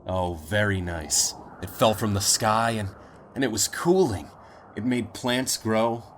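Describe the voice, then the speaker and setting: A man playing a grave, tender, protective father in a post-apocalyptic audio drama, speaking deliberately and wearily. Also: fairly low pitch, a bit bright, slight background noise. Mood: tender, wistful. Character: weary, protective father in a ruined post-war world.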